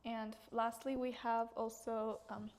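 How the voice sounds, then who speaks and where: A woman's voice: fairly high pitch, level tone, a little slow, a little mellow, slight background noise. A young woman hosting a student podcast interview, speaking hesitantly with many fillers and little giggles, in a small office room. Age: young adult. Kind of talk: casual interview.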